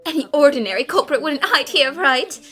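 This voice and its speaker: A woman voicing a plucky, confident young female detective in an animated fan dub, theatrical and excitable. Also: sits high, brisk, soft background music. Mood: determined.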